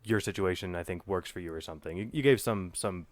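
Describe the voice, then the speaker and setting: A man with a notably low voice, a little nasal from a cold he's getting over. He talks in a halting, restarting way, recorded close and dry with no room sound. It's a relaxed podcast chat between friends. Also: no room sound. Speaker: podcast co-host. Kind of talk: casual chat. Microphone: podcast microphone.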